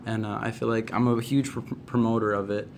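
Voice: medium pitch, little pitch movement, a little fast. An earnest teenager talks in a casual group discussion, searching for words. Faint ambient noise is audible behind the voice. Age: teens. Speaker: young cast member of a play.

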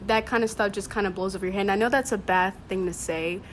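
A woman's voice: mid-range voice, fairly even intonation, quick pace. A teenage girl talking casually as a guest in a group discussion. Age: teens. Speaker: student cast member, podcast guest. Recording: clear background noise.

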